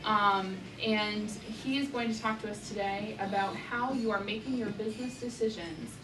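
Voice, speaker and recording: A woman's voice: medium pitch, normal speed. A woman hosting an event, introducing the guest speaker in a friendly way with many fillers. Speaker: event host. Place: event room with audience. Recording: echoey room, distant mic, audible noise.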